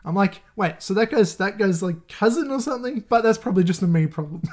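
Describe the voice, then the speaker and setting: A man's voice: high-pitched, fast. A man hosting his channel, giving casual movie recommendations, candid and swearing freely, laughing often between thoughts. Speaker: channel host.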